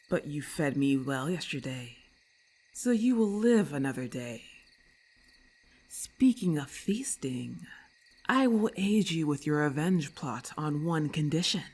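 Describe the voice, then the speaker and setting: A woman voicing a haughty, predatory female lamia in ASMR roleplay, speaking in a deliberate, imperious and condescending manner. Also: deep voice, darkish tone. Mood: menacing, then hungry, scheming.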